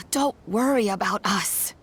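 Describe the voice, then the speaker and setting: Wise elderly granny, game role: slow, gentle, mid-pitched, slight background noise. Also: female voice. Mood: gently reassuring. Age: older. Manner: gentle, advising. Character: kindly, wise old granny. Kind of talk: video game voice acting.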